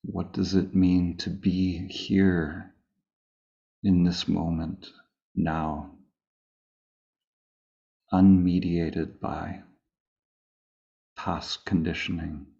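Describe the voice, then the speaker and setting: A man with a low, gravelly voice teaches meditation in a calm manner. He leaves long pauses between short phrases and keeps his tone very even. The sound is a little dull and muffled. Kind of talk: slow guided meditation, spoken live.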